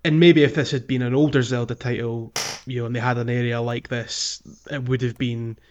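Medium-pitched man, halting casual chat. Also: little pitch movement, muffled recording, a little hiss or hum. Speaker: podcast co-host. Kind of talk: casual gaming discussion.

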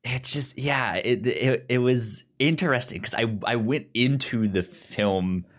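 A podcast co-host chatting casually about film, speaking haltingly with repeated words and frequent restarts. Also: mid-range voice.

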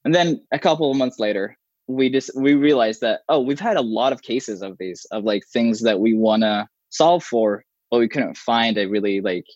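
A man's voice: medium pitch, moderate pace, darkish tone, no room sound. Arabic-first-language guy rambling thru an interview, lots of restarts, kinda muffled dry audio. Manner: rambling, frequent restarts and fillers. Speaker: software developer guest. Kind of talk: casual interview conversation.

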